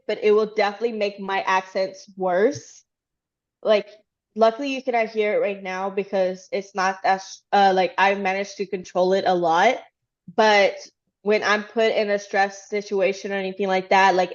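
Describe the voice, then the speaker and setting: A woman's voice: medium pitch, muffled recording. A woman from Texas casually recapping a livestream in a chatty, rambling way with lots of fillers. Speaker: fan channel host.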